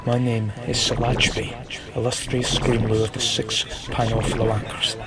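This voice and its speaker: Alien message sender, male actor: stately, even-toned, formal, heard through heavy noise, sci-fi audio drama. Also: mid-range voice, natural voice. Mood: solemn appeal. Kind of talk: fan audio drama acting. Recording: very noisy, rushing background.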